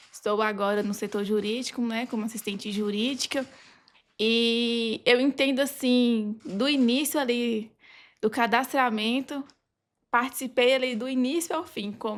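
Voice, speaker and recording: A young Brazilian woman speaks as a guest on a podcast. Her voice is a little high, and she tells her own story in an informal, conversational way. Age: young adult. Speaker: podcast guest, legal assistant. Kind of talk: personal account in casual interview.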